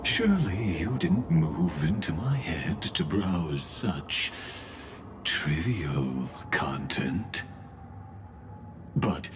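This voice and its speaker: An actor voicing a composed, scheming game villain with sly, measured, formal courtesy. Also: male voice, very deep voice, noisy background, heavily acted. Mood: smug, amused. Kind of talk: video game voice acting.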